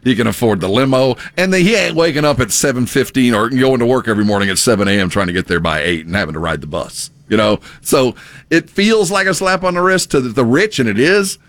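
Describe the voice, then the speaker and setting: A middle-aged man talking in loose, joking banter, with a pitch that swings widely. The sound is dry and close, with no room around it. He hammers his points in a folksy way. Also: animated, quick pace, fairly bright tone, dry recording. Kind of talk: casual banter.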